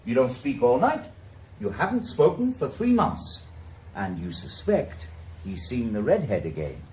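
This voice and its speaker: A man's voice: mid-range voice, sing-song, unhurried, a little mellow, low-quality recording. An actor playing a world-weary, middle-aged former policeman turned private investigator, with a dry, sardonic Welsh voice in a radio drama. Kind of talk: 1980s radio drama acting with first-person narration.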